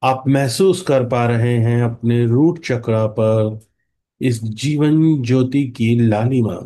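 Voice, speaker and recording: An Indian man guides a meditation in a mellow, relaxed voice. He speaks extremely slowly at a medium pitch, in short, calming phrases with long pauses between them. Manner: slow, hushed calming delivery with long pauses.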